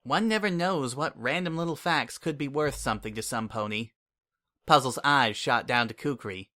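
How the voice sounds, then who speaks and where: A man reading a fantasy story aloud in a relaxed, conversational first-person narration. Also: mid-range voice, moderate pace. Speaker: story reader. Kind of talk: dramatic audiobook narration.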